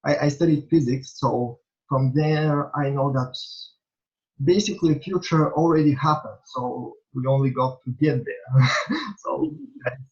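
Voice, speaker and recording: A young man from Bulgaria, a native Bulgarian speaker with a Bulgarian accent, answering interview questions in a halting way, full of fillers and restarts. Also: mid-range voice, darkish tone. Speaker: interviewee, small vlogger. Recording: dull lo-fi recording.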